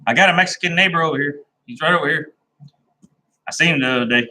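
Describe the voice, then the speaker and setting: Man, live-stream host, plain country talker, rambling, bright tone. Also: fairly high pitch, fast talker, slight background noise, low-quality recording. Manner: rambling and laid-back, with many "uh"s and restarts. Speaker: livestream host chatting with viewers. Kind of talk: casual live-stream chat.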